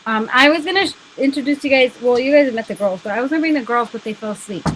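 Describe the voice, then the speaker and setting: A woman's voice: fairly high pitch, very fast. A woman co-hosting a casual live stream, chatting bluntly with swearing and teasing. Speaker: podcast co-host. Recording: noisy live webcam stream.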